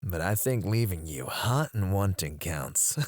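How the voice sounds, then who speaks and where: A menacing captor, as a man acts him out, taunts his prisoner in a deep, unhurried murmur. He drops into soft whispers and low chuckles on a close mic. The sound is dry and intimate. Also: big pitch swings, no room sound, heavily acted. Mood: teasing, menacing. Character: teasing, menacing male captor interrogating a prisoner. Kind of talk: ASMR roleplay.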